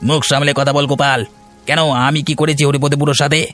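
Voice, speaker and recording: A man voicing a scheming village bully in a cartoon, flattering and wheedling. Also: fairly high pitch, brisk, faint music behind, close to an everyday voice. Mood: defensive. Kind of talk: cartoon voice acting.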